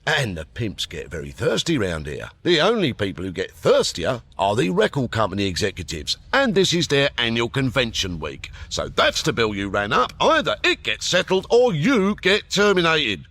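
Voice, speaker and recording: A man's voice: medium pitch, huge pitch swings, normal speed, hardly any pauses, a bit bright, heavily acted. An actor playing a menacing alien barman, a sardonic, bullying heavy in a radio comedy. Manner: threatening, sardonic, emphatic. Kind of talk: radio comedy drama acting.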